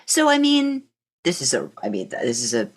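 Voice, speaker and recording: A woman's voice: a bass voice, wildly swooping pitch, moderate pace. A woman hosting a casual podcast interview, encouraging and affirming, thinking aloud as she rephrases her questions. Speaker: podcast host interviewing a guest.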